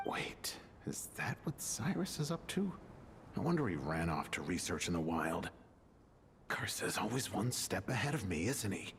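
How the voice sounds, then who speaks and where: An actor voicing a spry, competitive old scholar in a video game, theatrical and a little grumbling. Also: male voice, fairly low pitch, big pitch swings, unhurried, a bit bright, slight background noise, exaggerated voice. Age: older.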